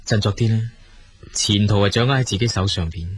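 An actor playing a busy, evasive brother-in-law in a Cantonese radio drama, with a Hong Kong accent. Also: male voice, lowish voice, fairly quick, husky. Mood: encouraging.

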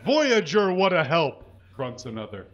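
A man giving a live comedic reading of fan fiction on a small stage, mostly dramatic with deadpan asides, reading punctuation aloud. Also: fairly high pitch, measured pace, a crowd in the background. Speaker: comedic reader. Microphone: stand mic. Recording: dull, lo-fi live recording.

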